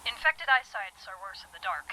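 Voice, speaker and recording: A woman's voice: mid-range voice, big pitch swings, moderate pace, pushed and bright, rough recording. A woman playing a cautious, observant pony squad member in an analog horror drama, reporting warily through a radio filter. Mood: wary. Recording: piercing, hissy radio transmission.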